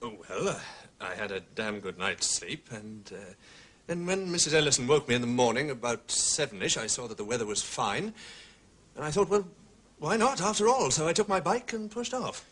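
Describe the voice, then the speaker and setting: Indignant blustery suspect in a radio play, husky, pitch all over the place. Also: male voice, expressive, normal speed, fairly bright tone. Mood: defensive, recounting. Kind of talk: British radio drama acting.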